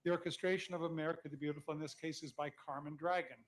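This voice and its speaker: A man's voice: on the high side, fairly even intonation, rapid-fire. A man from California talking to an audience from the stage as conductor of a concert, in a conversational way with small restarts. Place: outdoor concert.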